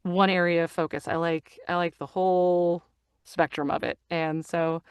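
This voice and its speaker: A middle-aged woman, a voice actor and audiobook narrator, chatting in an interview with lively enthusiasm and many restarts. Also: fairly low pitch, moderate pace, smooth, no room sound, clean studio sound. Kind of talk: casual interview chat. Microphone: studio microphone.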